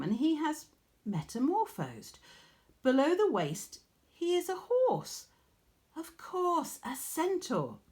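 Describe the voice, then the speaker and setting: A woman with an English accent reading a story expressively to children, as a teacher would. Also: mid-range voice.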